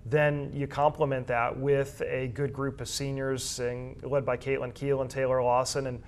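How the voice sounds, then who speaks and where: A man talks through high school sports as a commentator would in a relaxed discussion. His voice sits at an average pitch. He unfolds long, measured explanations with small hesitations along the way.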